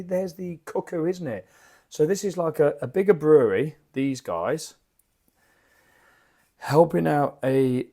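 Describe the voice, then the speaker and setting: A man with a croaky voice from a lingering cough, rambling in a chatty, self-deprecating way as he reviews beer at his home bar into a desk microphone. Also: medium pitch, a little mellow.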